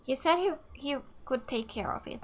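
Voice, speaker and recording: A woman's voice: high voice, animated, normal speed, background noise. A woman from Finland giving casual, sympathetic let's play reactions in short, repeated phrases. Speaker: gaming streamer. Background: video game music.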